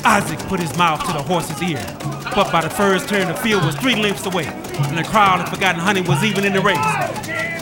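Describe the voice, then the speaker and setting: A man narrating an old-time radio drama in a folksy storytelling manner. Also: high-pitched. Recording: old radio recording with music behind.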